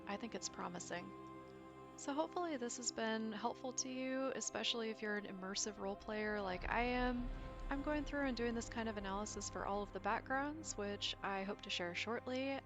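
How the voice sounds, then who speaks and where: Woman giving chatty commentary over music. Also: medium pitch. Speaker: gaming lore video creator. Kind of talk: informal scripted video commentary with asides. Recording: music behind the voice. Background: background music.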